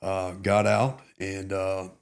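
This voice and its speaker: Brief: middle-aged male guest, deep and even, earnest and candid. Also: takes it slowly, gravelly. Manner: earnest, reflective, many "you know" fillers. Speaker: podcast guest, retired Marine. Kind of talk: casual interview.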